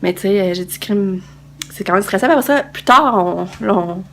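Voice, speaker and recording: A woman's voice: mid-range voice, animated, moderate pace, a bit bright, a little hiss or hum. A young woman with a Québécois French accent, vlogging casually to a handheld phone. She is tired and stuffed up with a cold, and she rambles with many fillers and restarts. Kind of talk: casual vlog chat to camera. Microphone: handheld phone camera.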